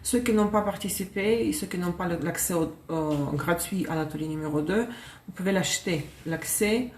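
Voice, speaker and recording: A woman's voice: fairly low pitch, moderate pace, darkish tone, a little hiss or hum. Woman reading tarot live, chatty, laughs a lot, echoey room. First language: Russian. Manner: chatty, self-correcting, laughs often. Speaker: tarot reader.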